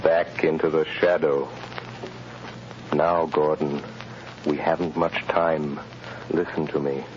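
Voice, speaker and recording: An actor playing a calm, commanding, mysterious male crime-fighter who gives low, measured, hypnotic orders, in a 1930s radio drama. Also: highs cut off. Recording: scratchy 1930s radio recording with hiss.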